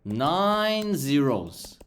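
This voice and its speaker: An English tutor whose first language is Bulgarian gives a patient one-on-one online lesson over a video call, speaking slowly and encouragingly and repeating and spelling out words. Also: medium pitch, very animated, a little hiss or hum.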